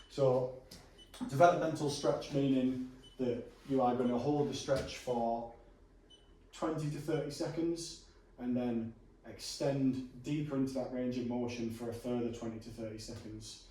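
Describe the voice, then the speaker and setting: A man working as a personal trainer, calmly talking a client through stretches in a gym, his voice a little hoarse. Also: medium pitch, slight background noise. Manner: relaxed, reassuring instructions with frequent fillers. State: going hoarse. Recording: echoey room from a distance.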